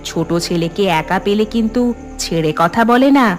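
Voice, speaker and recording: A woman's voice: mid-range voice, moderate pace, faint music behind, close to an everyday voice. A woman playing a mother explaining and warning her little son in a cartoon. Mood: cautioning. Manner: admonishing, explanatory. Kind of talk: cartoon dialogue.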